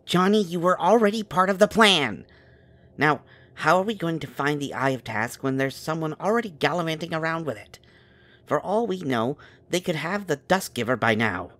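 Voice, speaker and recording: A man's voice: on the high side, animated, moderate pace, fairly bright tone. A man playing an exasperated, protective member of a magical quest, scolding his companion in an urban fantasy audio drama. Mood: frustrated, anxious.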